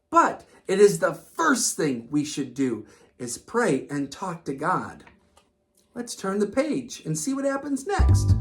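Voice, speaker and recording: A woman hosting a children's read-aloud, narrating a story expressively and pausing for simple lessons. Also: medium pitch. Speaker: children's story reader and host.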